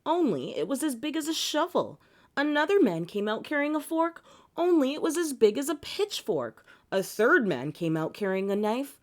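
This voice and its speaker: Female storybook reader, expressive and theatrical, with swooping intonation for young listeners. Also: high voice, moderate pace. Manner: animated, theatrical delivery. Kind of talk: expressive picture-book read-aloud.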